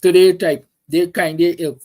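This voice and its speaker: A man's voice: high voice, little pitch movement, moderate pace, a little mellow. Emphatic Guyanese male talk host. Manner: emphatic, rhetorical questions, repetition. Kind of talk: opinionated political monologue.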